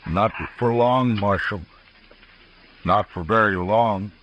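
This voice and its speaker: Low-voiced hot-headed Old West rancher. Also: male voice, takes it slowly, dull audio, background noise. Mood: aggrieved, threatening. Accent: American. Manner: blunt, rustic speech. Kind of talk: 1950s radio western acting.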